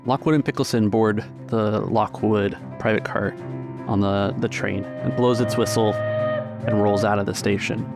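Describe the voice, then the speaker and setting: A man's voice: on the low side, fairly even intonation, unhurried, gravelly, music in the background. A man narrating a tabletop roleplaying game as its game master.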